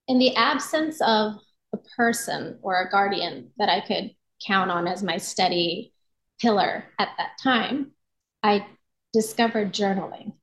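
A middle-aged woman with an American accent, telling her personal story in an earnest, articulate, reflective way as an interview guest. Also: mid-range voice. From Japan. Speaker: yoga and meditation instructor, podcast guest. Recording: roomy echo on voice.

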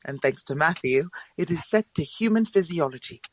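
Calm, precise alien healer woman. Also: sits low, moderate pace, rough recording. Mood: calmly clinical. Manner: clinical, formal, instructive. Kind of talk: audio drama acting.

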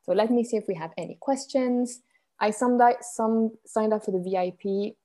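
A mellow-toned young woman from Switzerland hosts a live coaching stream and talks to her viewers in an upbeat, chatty way. She reads their comments aloud as she goes, at an even middle pitch. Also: moderate pace. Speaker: business coach hosting a live challenge.

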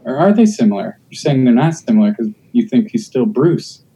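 A man's voice: mid-range voice, sing-song, brisk, mellow, low-quality recording. A man who does stand-up, talking casually on a podcast, blunt and riffing, sometimes mimicking how people react. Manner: blunt, riffing, acts out hecklers' voices. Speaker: stand-up comedian.

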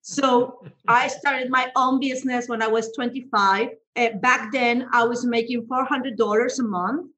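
Mexican lady in her 30s, kinda high voice, chatty guest, lots of restarts in her english. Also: steady tone, measured pace, hardly any pauses, pushed and bright, poor audio. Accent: Mexican Spanish. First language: Spanish. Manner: candid, laughs often, frequent restarts in second-language English. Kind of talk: telling her life story in an interview.

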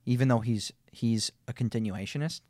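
A man's voice: mid-range voice, normal speed, darkish tone, no room sound, clean studio sound. A man hosting a debate podcast, laying out his argument emphatically step by step with frequent restarts. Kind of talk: debate conversation.

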